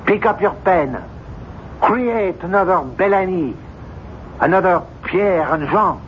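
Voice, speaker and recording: An actor playing a stern, scornful, pushy doctor in a radio drama, lecturing with emphatic declamation. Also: male voice, high-pitched, lively intonation, slow. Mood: pressing, lecturing. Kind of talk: 1970s radio drama acting. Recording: muffled, noisy 1970s radio recording.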